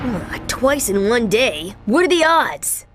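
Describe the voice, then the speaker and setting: A wisecracking young boy hero in a cartoon game. He sounds cocky and sarcastic in a highish voice. Loud music runs under the lines. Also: very animated, slow. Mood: wry. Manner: sarcastic, boastful. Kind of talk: cartoon video game voice acting.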